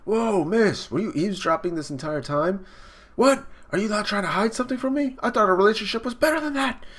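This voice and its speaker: A man's voice: a little high, lively intonation, normal speed, voice pushed up. A man voicing a clingy, coquettish and menacing female hammerhead mermaid from a manga, in a dramatic manner. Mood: indignant.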